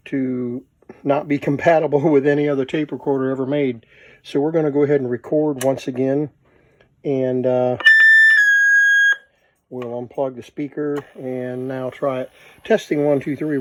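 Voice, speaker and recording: A man's voice: mid-range voice, mellow, rough recording. A man giving a casual, unscripted hands-on demonstration, thinking aloud as he works as a hobbyist presenter. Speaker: vintage tape recorder hobbyist, presenter.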